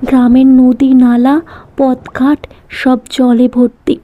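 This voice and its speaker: A woman narrating a children's cartoon story in measured, short phrases. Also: high-pitched, monotone, phone-quality sound. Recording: music behind the voice.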